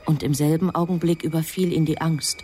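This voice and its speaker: A woman acting as the composed storyteller of a radio play, measured and deliberate in her narration. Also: sits low, fairly even intonation, close to an everyday voice. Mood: measured, suspenseful. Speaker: narrator, also playing an angel.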